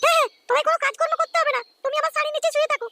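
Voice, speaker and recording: Indignant young cartoon wife, chipmunk pitched-up voice, nagging, rapid-fire, very noisy track with birdsong. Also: female voice, steady tone, vocal fry. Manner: nagging, argumentative. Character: indignant, scolding young wife defending her mother. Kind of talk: comic cartoon dialogue. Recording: loud noise, clicks, chirping birds.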